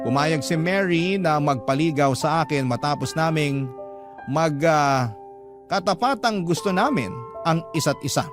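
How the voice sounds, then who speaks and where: A man narrating a scripted first-person story for a radio drama as its storyteller. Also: a little high. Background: guitar music.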